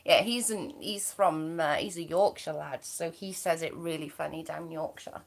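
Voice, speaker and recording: A woman's voice: deep voice, steady tone, moderate pace, gravelly. A woman with a Welsh accent from near the English border, hosting a livestream and chatting in a bubbly, gossipy way while reading out viewer comments. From Wales. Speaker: livestream host.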